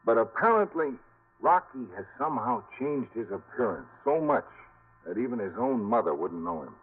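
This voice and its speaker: An actor playing a seasoned, cautious lawman in 1950s radio drama, speaking in a deliberate, confiding way. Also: mid-range voice, sing-song, slightly rough. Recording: vintage narrow-band radio.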